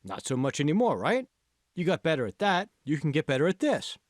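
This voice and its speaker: Man acting emphatic young pep-talking friend, swooping pitch. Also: huge pitch swings, fairly quick, no room sound, clean studio sound. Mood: insistent encouragement. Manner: emphatic, prodding, persuasive. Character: confident, encouraging young male college friend, insistent coach. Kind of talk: audiobook character dialogue.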